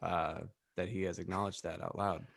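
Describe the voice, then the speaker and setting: A man's voice: sits low, little pitch movement, moderate pace, vocal fry, a bit bright. A man co-hosting a podcast with casual, mock-serious comedic banter. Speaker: podcast co-host.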